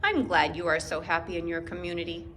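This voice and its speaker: A woman's voice: on the low side, brisk, a bit bright, a little hiss or hum, voice pushed down, close to an everyday voice. A woman reading the part of an earnest male country cat, proud but timid, in a children's storybook. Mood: friendly.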